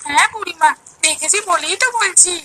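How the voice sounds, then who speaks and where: A woman's voice: very high-pitched, brisk, bright, forceful tone, a little hiss or hum. A woman voicing a poor village boy in a cartoon story, earnest, plaintive and indignant. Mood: aggrieved, earnest. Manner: plaintive, complaining. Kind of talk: Bengali cartoon story dialogue.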